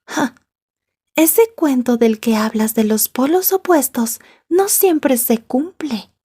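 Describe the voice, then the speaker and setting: Breathy, high-voiced yandere schoolgirl, acted by a woman. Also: dark tone, heavily acted. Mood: condescending, smug. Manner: taunting, drawn-out, slipping into whispers. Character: possessive yandere schoolgirl, sweet, menacing, obsessively jealous. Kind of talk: ASMR roleplay acting.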